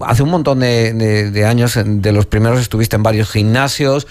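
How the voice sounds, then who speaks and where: A middle-aged man hosting a radio interview, speaking in a halting way with many restarts. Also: on the low side, darkish tone. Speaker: radio host.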